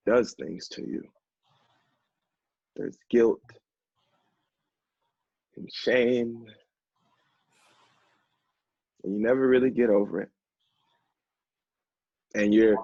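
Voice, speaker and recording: An actor playing an earnest, emotional man who knows addiction firsthand, speaking haltingly in a stage play. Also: lowish voice, mellow, breathy edge, exaggerated voice. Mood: somber, wistful. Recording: roomy, dull stage recording.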